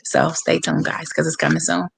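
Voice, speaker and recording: A woman from San Francisco with a hoarse voice, a boxer speaking candidly and passionately as a guest on a livestreamed interview over a video call. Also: low voice, little pitch movement, moderate pace. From San Francisco, USA. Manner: candid, passionate, talks straight to viewers.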